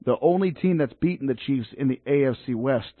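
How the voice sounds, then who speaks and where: A man hosting a local sports radio show, chatting casually about football and high school games and rallying the fans. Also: expressive, brisk, no room sound. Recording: dry, phone-like narrow sound.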